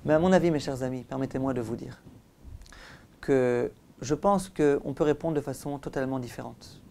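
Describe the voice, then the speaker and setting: A man delivers a Torah lesson as a rabbi, in a very mellow, relaxed tone. He stresses his points by repeating phrases. He speaks straight to his listeners and often puts rhetorical questions to them. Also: medium pitch, normal speed, vocal fry, a little hiss or hum. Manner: emphatic, repeats phrases for effect. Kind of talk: religious lecture.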